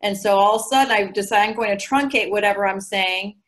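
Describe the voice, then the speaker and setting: A woman in her forties giving chatty, animated coaching advice over a video call from her living room. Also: mid-range voice, fast talker. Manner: chatty and animated, gestures a lot. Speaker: guest recruiter. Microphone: video call laptop mic.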